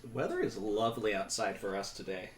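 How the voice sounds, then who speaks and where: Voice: mid-range voice, very animated, a little fast, distant mic. A co-host chatting casually while working at a hobby table. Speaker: co-host of a tabletop miniature painting channel. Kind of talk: casual chat while painting miniatures. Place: indoors at a shared painting table. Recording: echoey room, clear background noise.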